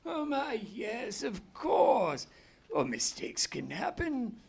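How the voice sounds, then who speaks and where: A creaky, high-pitched old man in a radio play, a grandfatherly schemer with a theatrical delivery. The live stage recording carries some background noise. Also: wildly swooping pitch, exaggerated voice. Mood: flustered amusement. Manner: doddering, halting, breaking into chuckles and cries. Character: elderly uncle, chuckling grandfather who is secretly a fanatic German spymaster.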